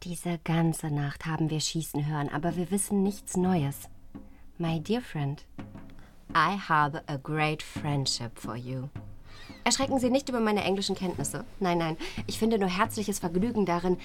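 A woman acting a young princess bride in a radio drama, speaking in a fairly low voice. She is affectionate and playful, nervously open, and delivers her letters with ardent expression over music. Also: unhurried, lightly acted.

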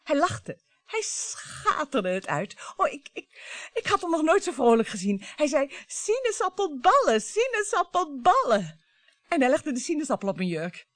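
An actress playing a cool, cutting French bourgeois wife and regretful mother in a radio play, with pointed, deliberate phrasing. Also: high-pitched, huge pitch swings, a bit bright, no room sound, full character voice. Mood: wistful, regretful.

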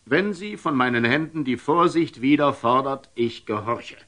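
An actor playing a grave, pious, devoted father in a classical radio drama, declaiming measured verse. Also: mid-range voice, animated, a bit bright, close to an everyday voice. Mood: resolute, earnest.

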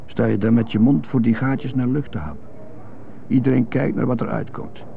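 An actor playing a bitter, tormented man who stutters, brooding in a halting radio drama monologue. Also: medium pitch, a little hiss or hum, close to an everyday voice. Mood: bitter, mocking. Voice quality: stuttering, husky. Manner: brooding, halting, resentful muttering. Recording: muffled, roomy, narrow-band old radio recording.

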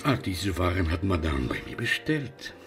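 An actor playing a sly, insistent merchant and moneylender in a radio drama, speaking in a calculating, smooth-tongued way. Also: male voice, low voice, sing-song, moderate pace, fairly bright tone, soft background music, exaggerated voice.

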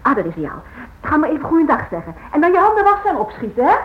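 An actress playing a brisk, practical, mildly exasperated housewife and mother in a radio drama. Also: high voice, very animated. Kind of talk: radio drama acting, domestic dialogue. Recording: dull old radio, faint noise.

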